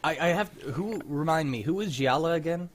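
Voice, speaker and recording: A man's voice: on the high side, animated, a little fast, no room sound. A man hosting a livestream, chatting casually in slangy, enthusiastic pop-culture fan talk. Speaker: host of a livestream script-reading show. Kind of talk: casual chat.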